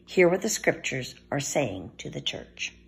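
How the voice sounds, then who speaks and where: Female scripture reader, lowish husky voice, formal measured church reading. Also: big pitch swings.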